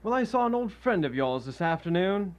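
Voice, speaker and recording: A man's voice: sits high, sing-song, a little fast. A man playing an amiable, chatty young husband telling a story in a radio drama. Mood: gossipy. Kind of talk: live student radio drama acting.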